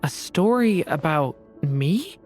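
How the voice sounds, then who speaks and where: A soft-hearted young dragon boy in a fantasy game. The voice is fairly low for a woman and very slow, earnest and a little hesitant, with music behind it. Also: lively intonation. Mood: earnest, wondering.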